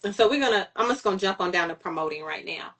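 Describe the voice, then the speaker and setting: A woman hosting an interview, excitable and affirming, often opening with "listen.". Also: medium pitch, fast. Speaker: interview host. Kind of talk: casual interview chat.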